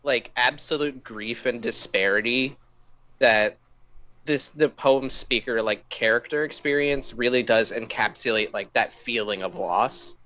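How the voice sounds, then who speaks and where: A man's voice: mid-range voice, a little slow, sharp tone, highs cut off. A man co-hosting a podcast in casual, slangy, irreverent chat with some swearing. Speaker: podcast co-host.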